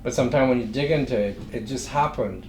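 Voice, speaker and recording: A middle-aged man with a Trinidadian accent, a guest speaking in a thoughtful, measured way with frequent pauses. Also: mid-range voice, a little mellow. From Trinidad and Tobago. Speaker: guest entrepreneur. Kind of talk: interview conversation. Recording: echoey room with faint noise.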